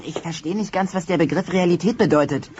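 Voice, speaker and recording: An actor playing an earnest, brooding teenage dinosaur son, speaking hesitantly in a sitcom audio play. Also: male voice, high-pitched, fast talker, a little mellow, close to an everyday voice. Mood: pensive. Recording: dull, faintly noisy cassette.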